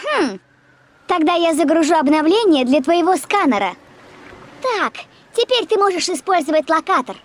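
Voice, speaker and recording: An actress playing a calm, helpful young woman tech dispatcher giving instructions in a video game dub. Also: very high-pitched, normal speed.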